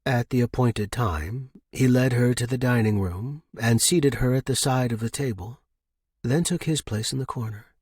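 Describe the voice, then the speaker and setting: A man's voice: mid-range voice, normal speed, darkish tone, husky, dry recording, clean studio sound. A man reading scripted fiction as an audiobook narrator.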